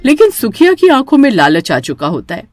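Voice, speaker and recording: A woman's voice: a little high, huge pitch swings, a little fast, a little mellow, soft background music. A woman narrator reading a scripted horror story.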